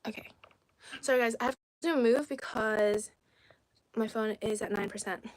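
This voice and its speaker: A woman's voice: a little high, normal speed, slight background noise, rough recording. Chatty woman, native Cantonese speaker. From China. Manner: chatty, often drops to soft half-whispered asides. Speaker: livestream host. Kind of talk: casual livestream chat, reading viewer comments.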